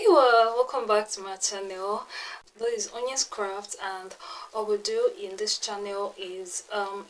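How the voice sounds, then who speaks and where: A woman's voice: mid-range voice, slight background noise, poor audio. A young woman with a Nigerian English accent gives a casual phone-video tutorial from her bedroom. She is chatty, says um often, and repeats points for emphasis. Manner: chatty, repeats herself for emphasis, frequent ums. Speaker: tech and lifestyle YouTuber. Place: plain-walled bedroom, indoors. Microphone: small external clip-on mic.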